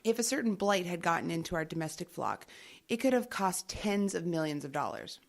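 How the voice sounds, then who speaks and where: A cool, gravelly government agent. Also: female voice, fairly low pitch, expressive, brisk. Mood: wry. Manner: dry, unflappable, teasing. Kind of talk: audio drama acting.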